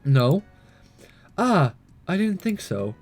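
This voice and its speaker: Guy doing goofy lets play commentary, kinda high voice, bouncing all over, music behind. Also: takes it slowly. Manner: animated, stammers and breaks into asides. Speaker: gaming YouTuber. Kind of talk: playful let's-play commentary with dramatic read-aloud.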